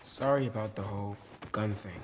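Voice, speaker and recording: A male performer acting an earnest American teenage boy in video game dialogue, soft-spoken and brief. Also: on the low side, normal speed, lightly acted. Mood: shy. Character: earnest young teenage boy, younger brother. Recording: noisy ambience under dialogue.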